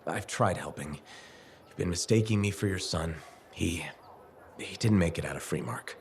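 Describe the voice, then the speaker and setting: A man's voice: sits low, normal speed, a little hiss or hum. An actor playing a well-meaning, apologetic man in a video game, speaking in a hesitant, placating way. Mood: apologetic, pleading.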